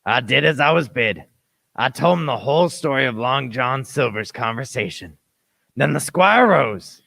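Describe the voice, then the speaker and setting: A cabin boy narrator played by a man tells a sea adventure for radio. The voice is forceful and bright, with a creaky edge at medium pitch. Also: expressive, moderate pace. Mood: storytelling. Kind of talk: scripted dramatic narration.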